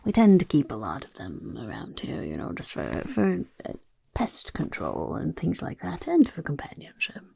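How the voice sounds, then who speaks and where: A woman voicing a scheming male goblin who interviews recruits for a chaos horde, in a whispery soft-spoken ASMR roleplay, talking of himself in the third person. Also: deep voice, wildly swooping pitch, moderate pace, full character voice. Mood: curious. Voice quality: gravelly.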